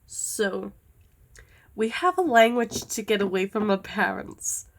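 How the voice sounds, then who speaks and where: Young woman, slow unhurried pace, rambling casual vlog chat, easily sidetracked. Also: medium pitch, animated. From Denmark. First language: Danish. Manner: rambling, easily distracted, with many fillers. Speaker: vlogger. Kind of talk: casual chat while doing nails.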